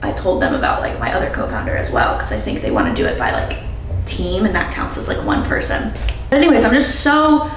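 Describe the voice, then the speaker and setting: A woman's voice: fairly low pitch, wildly swooping pitch, a little fast. An American woman in her twenties chatting casually to camera as a vlogger, in a talkative style peppered with "like.". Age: 20s. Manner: chatty, frequent "like". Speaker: lifestyle vlogger. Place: home bathroom with hard surfaces. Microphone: camera a step or two away. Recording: roomy, distant mic, faint noise.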